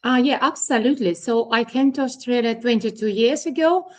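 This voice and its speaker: A woman's voice: fairly high pitch, a little fast, a little mellow. Russian-born woman explaining finance. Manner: plain, instructive, with ums and uhs. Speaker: guest, accountant. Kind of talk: explaining to an interviewer.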